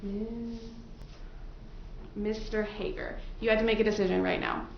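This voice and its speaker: Echoey, creaky-voiced woman defense attorney. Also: medium pitch, fairly even intonation, moderate pace. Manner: conversational, informal, explanatory. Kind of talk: questioning prospective jurors in court. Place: courtroom, into a microphone. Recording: echoey courtroom audio, muffled, noisy.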